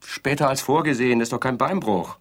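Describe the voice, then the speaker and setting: An actor playing a placid, defensive bridegroom in an absurdist radio play, musing and mildly pompous, with a husky voice. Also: male voice, mid-range voice, fairly quick, lightly acted. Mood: placating. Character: placid, defensive bridegroom, absent-mindedly insisting on their happiness.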